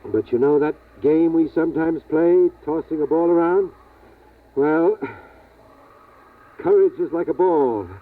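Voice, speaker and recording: Grave father, tinny vintage radio. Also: on the high side. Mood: solemn, tender. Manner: measured, grave, halting reassurance. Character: protective, weary father of a family surviving catastrophe. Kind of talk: 1950s radio drama acting.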